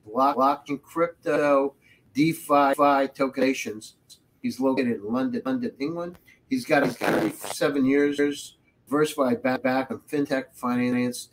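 A man's voice: mid-range voice, rough recording. A man hosting an interview over a video call, often repeating and restarting words as he asks questions. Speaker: podcast host.

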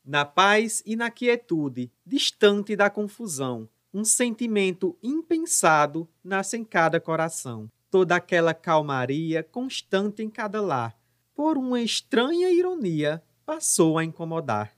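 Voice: medium pitch, big pitch swings, a little slow, fairly bright tone, dry recording, clean studio sound. A narrator telling a radio soap story in a theatrical, scripted style.

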